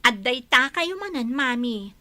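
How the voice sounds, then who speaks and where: A defiant young daughter in an Ilocano radio drama. Her voice is high and sharp, with animated, dismissive swings and an Ilocano accent. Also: unhurried, no echo, exaggerated voice. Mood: defiant, irritated.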